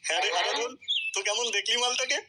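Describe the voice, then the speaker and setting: Crude village gossip for a cartoon, female voice actor, slightly high, teasing, buried in loud birdsong noise. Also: fast, natural voice. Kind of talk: adult comedy cartoon dialogue. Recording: very noisy, loud birdsong behind.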